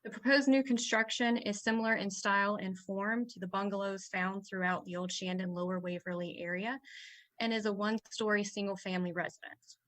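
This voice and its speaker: A woman's voice: mid-range voice, fairly even intonation, brisk, fairly bright tone, dry recording. A woman on a commission staff, giving a formal case presentation as if read from notes.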